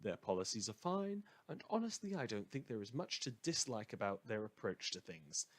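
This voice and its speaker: Casting: male actor for opinionated, melodramatic radio host in an audio drama; rough-edged voice, highly varied intonation, sardonic and theatrical delivery. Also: sing-song, moderate pace. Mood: wry, measured. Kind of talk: scripted satirical radio monologue in a fan audio drama.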